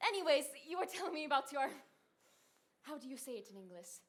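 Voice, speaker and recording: A woman's voice: sits high, sing-song, fast talker, fairly bright tone, a little hiss or hum. A woman playing a vain, glamorous bride who coyly poses as a Frenchwoman in a stage musical comedy. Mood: coy, fishing. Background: faint music.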